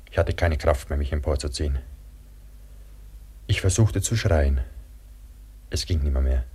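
An actor in a German radio drama playing an exhausted, despairing but stubborn man trapped under snow, speaking wearily and haltingly. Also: extremely low pitch, warm tone, slightly rough, exaggerated voice.

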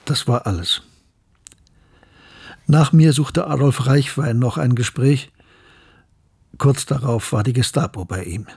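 An actor playing a grave scientist who narrates his memories of wartime tragedy in a sombre, measured way for a radio drama. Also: mid-range voice, vocal fry, a little mellow, slightly rough, exaggerated voice. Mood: sombre, tense.

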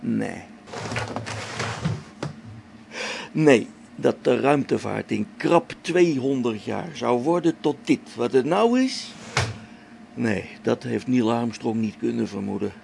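A man's voice: mid-range voice, lively intonation, a little slow, creaky, noisy background. An actor playing a grumbling old-school test pilot and father talking to himself in a spaceship radio drama. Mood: muttering, amused. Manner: muttering to himself, grumbling, chuckling.

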